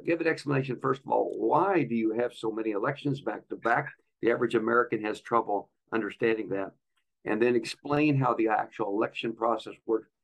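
A man with a medium-pitched, noticeably creaky voice hosts a podcast interview. He is a pastor, and he talks conversationally as he sets up questions for his guest. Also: poor audio. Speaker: podcast host.

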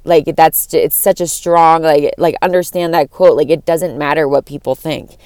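A young woman from Florida with a deep voice that cracks at times, musing on a casual solo podcast in a rambling, profane way full of fillers. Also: steady tone, moderate pace, darkish tone, clean tone, no echo, studio quality. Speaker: podcast host.

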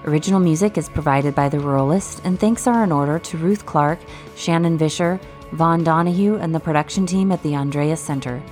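A woman's voice: deep voice, fairly quick, a little mellow. A woman announcer reading scripted podcast credits. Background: music.

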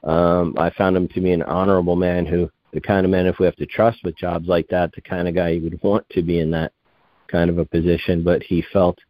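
Interview guest, male, 50s; deep voice, muffled dry recording; digressive, restarts his sentences often. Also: little pitch movement, fairly quick, no room sound. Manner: meandering, self-correcting, digressive. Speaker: guest researcher. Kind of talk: rambling conversational interview.

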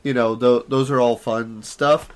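Hoarse raspy man hosting a podcast. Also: steady tone, unhurried. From Southern California. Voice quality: naturally deep voice, raspy today. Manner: rambling, self-deprecating banter. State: hoarse from allergies. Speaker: podcast co-host. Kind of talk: casual podcast chat.

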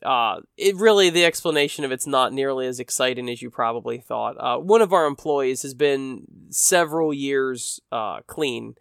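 A man's voice: mid-range voice, normal speed, vocal fry, hardly any pauses, fairly bright tone, clean tone, no echo, studio quality. A man hosting a casual solo podcast, chatting in a rambling, self-deprecating way while sounding a bit stuffy from allergies. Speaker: podcast host.

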